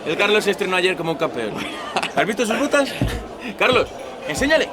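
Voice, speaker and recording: A menacing skinhead ringleader in an audio drama, a man with a high voice and an accent from Spain. He talks with coarse, cocky swagger. A loud crowd fills the bar around him. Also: sing-song, fairly quick. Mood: boastful. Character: cocky, menacing neo-Nazi skinhead gang leader. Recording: noisy crowded bar scene.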